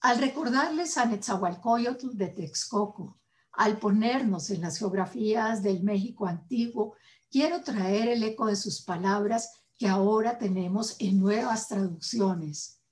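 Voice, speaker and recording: Colombian woman reading a formal speech, slow and solemn, lots of pauses. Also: mid-range voice, a little mellow. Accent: Colombian Spanish. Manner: measured, solemn, reads with deliberate pauses. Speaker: host. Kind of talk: formal scripted address.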